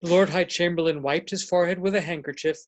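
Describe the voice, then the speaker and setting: Higher-pitched man narrating a tale. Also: steady tone, fast talker. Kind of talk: storybook narration.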